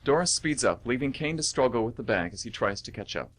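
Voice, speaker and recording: A man's voice: medium pitch, lively intonation, brisk, fairly bright tone. A man narrating an amateur radio play, reading out scripted stage directions.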